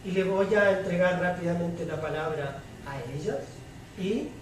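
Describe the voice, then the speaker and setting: A man speaking formally and in a measured way as the moderator of a public panel debate. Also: sits high. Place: large echoey room at a public event. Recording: echoey hall, distant mic, faint noise.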